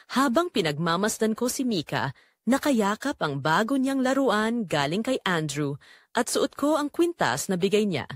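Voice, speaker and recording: Woman telling a sentimental drama story, really animated pitch, dry close sound. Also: no echo. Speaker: narrator of an audio drama. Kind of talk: first-person dramatic story narration.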